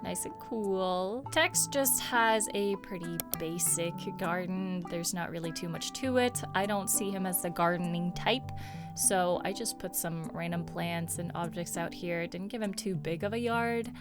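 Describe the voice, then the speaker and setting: A woman's voice: on the low side, unhurried, music in the background. A woman giving a casual, chatty narrated tour of her video game island, with playful jokes and asides. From Swedish heritage.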